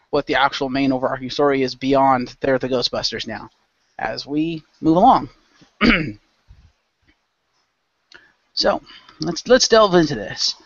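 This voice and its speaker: A man's voice: fairly high pitch, a bit bright, no room sound. A man hosting and narrating a role-play show as game master in a loose, informal way. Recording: dull lo-fi close microphone.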